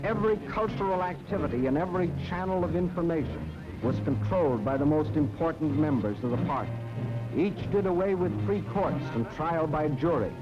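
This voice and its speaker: A man's voice: on the high side, lively intonation, brisk. An American man narrating a scripted 1940s propaganda film in an emphatic, dramatic way. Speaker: documentary narrator. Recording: dull 1940s film soundtrack, loud music.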